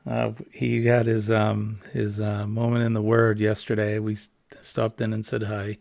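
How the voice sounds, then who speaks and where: Creaky-voiced man hosting a casual chat. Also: on the low side, completely flat delivery, a little slow, a little mellow, no echo, studio quality. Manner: chatty, reads viewer comments aloud with laughs. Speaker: host.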